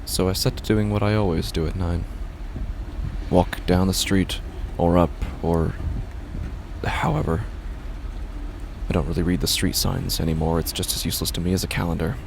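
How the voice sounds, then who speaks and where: An actor playing a weary, confused man who clings to rigid rules, narrating a horror monologue in a halting, repetitive, gravelly voice. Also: sits low, fairly even intonation, slight background noise. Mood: weary, detached. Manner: hesitant, halting, brooding, repeats himself. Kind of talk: first-person horror audio drama monologue.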